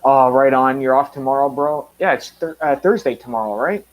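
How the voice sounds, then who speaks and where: A man in his mid-thirties talks loosely on his own live stream, wandering between thoughts with plenty of uhs and restarts. His voice sits at an ordinary middle pitch for a man. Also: moderate pace, poor audio. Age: 30s. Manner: rambling, many fillers and restarts. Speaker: channel host. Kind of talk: casual live stream chat.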